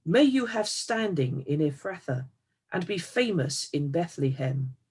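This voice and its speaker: Husky woman reading scripture slowly. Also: deep voice, expressive, a little mellow. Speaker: scripture reader.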